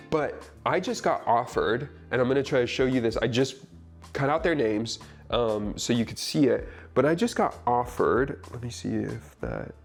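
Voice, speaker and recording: A man's voice: medium pitch, a little mellow. Chatty congested man vlogging at home. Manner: upbeat, chatty, emphatic with frequent "literally". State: slightly congested from a cold. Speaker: YouTube creator and web developer. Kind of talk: casual vlog talk to camera. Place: two-bedroom home in California, filming on the floor.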